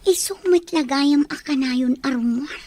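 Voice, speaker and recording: A woman's voice: sits high, measured pace, dark tone. A woman acting as an earnest, caring young working daughter in an Ilocano audio drama. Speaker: drama actress. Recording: clear background noise and ambience.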